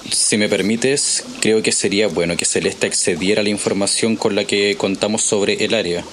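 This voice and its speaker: Male actor: polite, restrained journalist colleague, low-pitched, calm, audio drama, faint noise. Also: normal speed, natural voice. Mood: polite, tactful.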